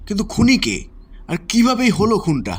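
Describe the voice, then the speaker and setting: A man's voice: high voice, huge pitch swings, moderate pace, husky, heavily acted. A man playing a courteous, concerned physician in a Bengali audio drama, with a polite, deferential and dramatic delivery. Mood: shocked. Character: courteous, concerned male physician questioned in a murder case.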